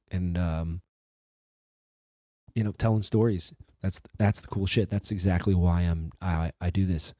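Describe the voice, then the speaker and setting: A male podcast host from the restaurant industry, talking casually and rambling with restarts and swearing, his voice a bit hoarse as he recovers from the flu. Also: low voice, dark tone, no room sound, studio quality. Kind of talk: casual solo intro monologue.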